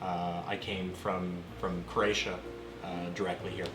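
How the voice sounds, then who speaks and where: A man in his forties from Canada, telling stories in a relaxed conversational way with plenty of fillers, as an interview guest in an apartment. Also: low voice, measured pace, echoey room, over music. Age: 40s. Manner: relaxed storytelling with frequent fillers. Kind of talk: casual conversation. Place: apartment room.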